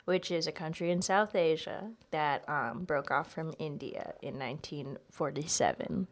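Podcast guest: American female, slightly low pitch, candid, casual, lots of "like" as filler. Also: moderate pace. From South Carolina and Virginia, US. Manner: candid, chatty, frequent "like" filler. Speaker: podcast guest, stand-up comedian. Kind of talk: casual conversational interview.

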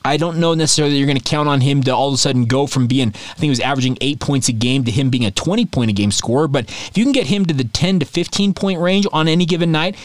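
A man hosting a solo sports podcast, talking in a chatty, animated way straight to his listeners. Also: on the high side, fast talker, few pauses, no room sound.

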